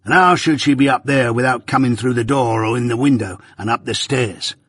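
A man's voice: medium pitch, a little fast, fairly bright tone, slightly rough, no echo, clean studio sound. A man voicing a cold, contemptuous male swindler who scolds harshly. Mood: scornful. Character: scornful, crafty male swindler.

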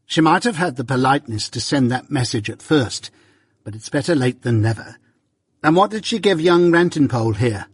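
A man voicing a sharp-tongued, grasping married woman with a curt, exclaiming manner in a storybook. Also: mid-range voice, a little fast, husky, no room sound, studio quality, natural voice. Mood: mollified, sharp.

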